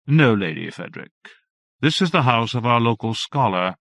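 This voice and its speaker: A man's voice: mid-range voice, big pitch swings, moderate pace, fairly bright tone, no room sound, studio quality. A man reading as a prim practical aunt. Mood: brisk. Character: prim, practical middle-aged aunt, a woman.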